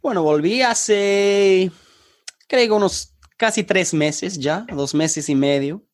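Higher-pitched man with an English accent. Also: slow, no echo, studio quality. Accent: English accent in Spanish. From England. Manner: hesitant, searching for words, chuckles often. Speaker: podcast guest, online tutor and startup founder. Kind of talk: casual interview conversation.

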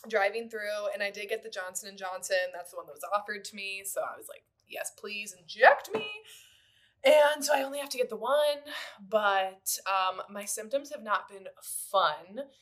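A woman's voice: medium pitch, moderate pace, fairly bright tone. A woman co-hosting a casual podcast, speaking in emphatic, sweary slang. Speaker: podcast co-host.

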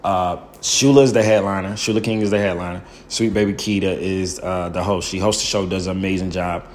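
Loud-voiced male stand-up storyteller. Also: deep voice, fairly even intonation, fairly quick, a little hiss or hum. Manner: acts out quoted people in bursts. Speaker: stand-up comedian. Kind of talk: animated storytelling interview.

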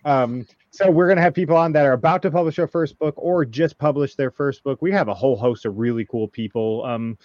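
A man in his thirties hosting a casual group podcast, laid-back and rambling with many pauses and ums. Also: medium pitch, darkish tone, no room sound, muffled recording. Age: 30s. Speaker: podcast host.

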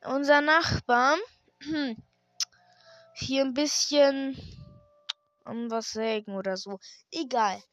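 A hoarse woman with a fairly high voice gives casual commentary as she plays at home. She talks slowly, hesitates and restarts her sentences. The desk mic sounds close and dry. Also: steady tone, dry recording, dull audio, faint music behind. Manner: hesitant, rambling, many fillers and restarts. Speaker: gaming channel host. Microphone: desk microphone.

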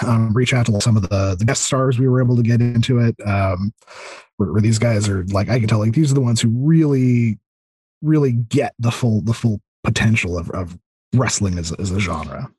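A man talking as a podcast guest in casual interview chat, enthusiastic, informal and rambling, with a slightly husky voice. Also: lowish voice, moderate pace, dark tone, dry recording. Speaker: podcast guest, audio drama creator.

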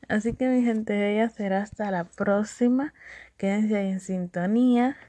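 A woman's voice: mid-range voice, moderate pace, mellow, dry recording. A woman with a Dominican accent hosting a solo podcast, chatty, laughing often and repeating catchphrases. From Dominican Republic. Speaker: podcast host.

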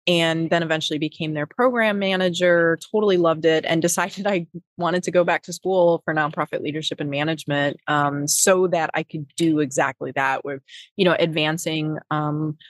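Brief: middle-aged woman, Wisconsin accent, low voice, dry close recording, meandering interview answers. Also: fairly even intonation, normal speed, no echo. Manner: rambling, many fillers and restarts. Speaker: podcast guest, nonprofit executive. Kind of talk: casual interview talk.

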